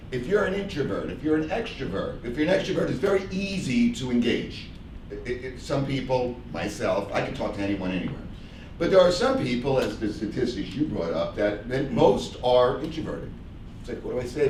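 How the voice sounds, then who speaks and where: Loud animated guy on a panel, mid pitch, echoey room, kinda far from the mic. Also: a little hiss or hum. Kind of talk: panel discussion.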